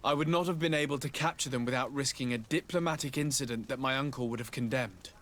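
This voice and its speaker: A man's voice: medium pitch, fairly bright tone, slight background noise, close to an everyday voice. An actor playing a composed young nobleman envoy in a video game, speaking formally and modestly. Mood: formal, regretful. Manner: formal, measured, polite. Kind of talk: scripted video game cutscene acting.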